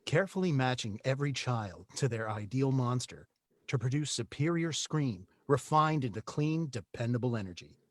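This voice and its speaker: A man playing an earnest corporate TV commercial announcer, measured and promotional, in a scripted read. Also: medium pitch, expressive, no echo, lightly acted. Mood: polished.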